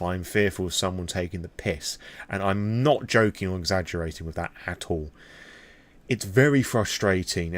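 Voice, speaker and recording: A man's voice: on the low side, no echo. A British man giving an impromptu personal vlog talk, candid and reflective, with some hesitation and casual swearing. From Britain. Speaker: vlogger.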